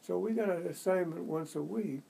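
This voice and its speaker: An older man from the Boston area being interviewed for an oral history, talking in a halting, rambling way with many restarts and asides, with a low voice. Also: gravelly, warm tone, husky, poor audio. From United States. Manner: rambling, halting, full of restarts and "you see".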